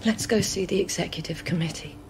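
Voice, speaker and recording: A woman's voice: lowish voice, fairly quick, music in the background, lightly acted. An actress playing a desperate, bitter British woman official in a dramatic video game.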